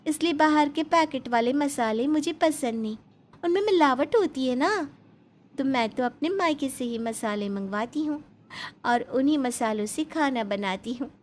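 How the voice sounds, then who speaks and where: A polite young daughter-in-law played by a woman in a cartoon family tale, with a high voice. She explains herself sweetly, self-assured and matter-of-fact. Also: moderate pace, lightly acted. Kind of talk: animated family story dialogue.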